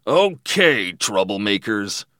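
A man voicing a menacing, drunk, thin villain who taunts and shouts threats in a story. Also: fairly low pitch, big pitch swings, takes it slowly, dry recording, studio quality, heavily acted. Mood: menacing, taunting.

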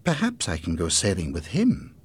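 A man voicing a curious, eager teenage granddaughter in a storybook, with a questioning, excitable manner. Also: normal speed, husky, heavily acted. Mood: shy interest. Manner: high-pitched, questioning, excitable. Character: curious, eager sixteen-year-old granddaughter.

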